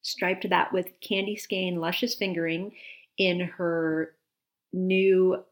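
A woman's voice: fairly low pitch, flat delivery, takes it slowly. A woman co-hosting a knitting podcast, chatting enthusiastically and in detail, sniffling a little. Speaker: podcast co-host. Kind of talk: casual chat about knitting.

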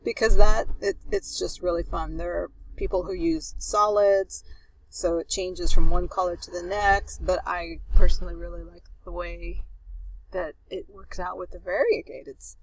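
A woman's voice: on the low side, fairly even intonation, normal speed, poor audio. Chatty, enthusiastic woman podcast co-host. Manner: chatty, enthusiastic, trails off into fillers. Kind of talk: casual chat. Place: outdoors at a beach.

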